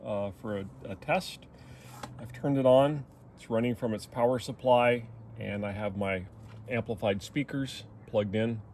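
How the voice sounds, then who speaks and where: A man's voice: lowish voice, a little mellow, slight background noise. An older man giving a casual, explanatory hobby demonstration in plain narration. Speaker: hobbyist presenter demonstrating a restored radio.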